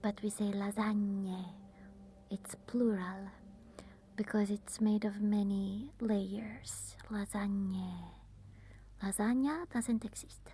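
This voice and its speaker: Breathy whispering Italian woman. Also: medium pitch, steady tone, slow pace. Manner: soft whispering. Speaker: ASMR streamer. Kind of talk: whispered ASMR live stream, chatting with viewers.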